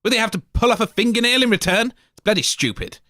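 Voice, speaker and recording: Man, comedy radio co-host, blunt and insulting, lively bright voice. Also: high-pitched, fast, no room sound, clean studio sound.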